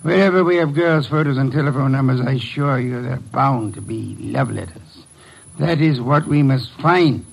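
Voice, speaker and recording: An actor playing a pompous, exacting middle-aged detective boss in an old-time radio drama, with formal, precise diction and a gravelly voice. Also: male voice, medium pitch, moderate pace, low-quality recording. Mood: pondering.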